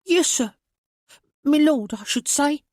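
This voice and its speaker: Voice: expressive, takes it slowly, darkish tone, no room sound, studio quality. A man voicing a frightened young boy servant who pleads and nearly cries, in a storybook reading. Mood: pleading, meek. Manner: high, pleading, on the verge of tears.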